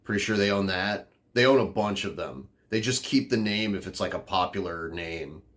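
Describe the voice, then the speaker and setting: A man's voice: deep voice, moderate pace, a bit bright. A man from the northern United States, a podcast host rambling casually with lots of fillers. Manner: rambling, filler-heavy, offhand. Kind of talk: casual chat answering chat.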